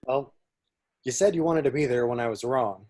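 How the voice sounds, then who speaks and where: A man playing a cocky, roguish space pilot in a script read-through, wry and brisk. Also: medium pitch, a little mellow, lightly acted. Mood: wry, urgent. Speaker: amateur actor in a table read.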